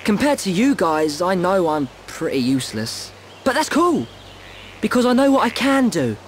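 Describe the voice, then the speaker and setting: A modest, plucky boy in a fantasy game, high-pitched and lively in intonation. Faint ambience is behind him. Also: moderate pace, slight background noise. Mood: resolved. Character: plucky, modest young boy eager to help. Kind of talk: video game cutscene acting.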